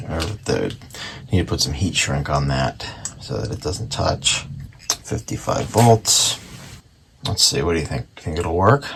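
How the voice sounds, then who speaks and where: A man casually narrating hands-on repair work in a low-key way, thinking aloud as he goes at a workbench. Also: vocal fry, a bit bright, a little hiss or hum. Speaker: host, antique radio repairer. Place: indoor workshop at a workbench.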